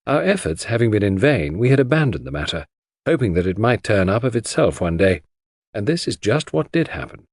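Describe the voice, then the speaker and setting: A male audiobook narrator reads a classic mystery dramatically. His voice is fairly low and a little husky, with very expressive swings in pitch. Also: fairly quick, dry recording, clean studio sound.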